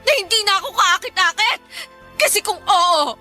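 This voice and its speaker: A woman's voice: extremely high pitch, animated, moderate pace, pushed and bright, soft background music, full character voice. A Filipino woman playing a guilty, defensive wife in an emotional Tagalog radio drama. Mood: angry, hurt. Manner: emotional, hesitant then heated. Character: guilty, defensive unfaithful wife pleading and lashing out.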